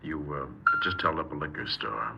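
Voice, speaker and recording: A calm, neutral questioner in a 1950s radio play. His extremely deep voice has a creaky edge. The old recording is heavily noisy. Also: moderate pace, heavily acted. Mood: calm, terse. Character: calm male interrogator taking down a confession. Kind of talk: 1950s radio crime drama acting.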